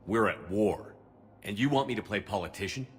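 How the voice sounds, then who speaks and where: Military commander, sci-fi game male actor: deep low voice, direct, matter-of-fact, businesslike, music underneath. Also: animated, normal speed, lightly acted. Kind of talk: cinematic game dialogue.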